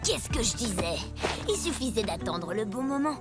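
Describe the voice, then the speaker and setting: A woman playing a bossy, scheming young bully and gang leader in a French animated children's series, haughty and scornful. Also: a little high, moderate pace, music in the background.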